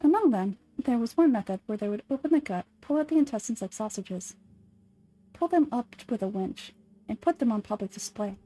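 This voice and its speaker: A woman voicing a calm adult nurse in an anime fan dub, speaking in a soft, measured, lecturing way as she dwells on gruesome details. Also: on the high side. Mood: macabre, relishing. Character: calm adult female nurse relishing grisly history.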